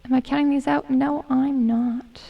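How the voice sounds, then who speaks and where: Hoarse, gravelly young woman gamer. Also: sits high, recorded from a distance, highs cut off. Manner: chatty, self-mocking, hums and mutters to herself. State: losing her voice, hoarse. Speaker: gaming streamer. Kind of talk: casual gameplay commentary.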